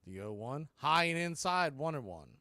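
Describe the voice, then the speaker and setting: A man hosts a baseball broadcast with a slightly high-set voice and a dry, close sound. He calls the action in clipped phrases, leaving deliberate pauses between them. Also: expressive, pushed and bright, dry recording. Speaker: baseball play-by-play host. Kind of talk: live play-by-play commentary mixed with history recap.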